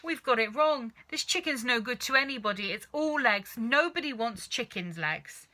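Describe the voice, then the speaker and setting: Woman acting an excitable, bossy storybook dad. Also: a little high, normal speed. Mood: exasperated. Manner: exclamatory, urgent. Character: excitable, impatient, bossy father, a man.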